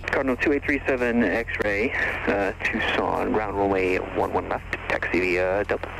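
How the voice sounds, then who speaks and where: Low-pitched man over noisy aviation radio. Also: fairly even intonation, normal speed, creaky. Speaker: air traffic controller. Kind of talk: clipped air traffic control instructions.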